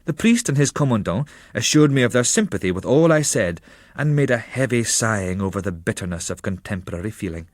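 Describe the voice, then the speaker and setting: A man reading a literary travel memoir as narrator, in a measured, expressive storytelling manner. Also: on the low side, dry recording, clean studio sound.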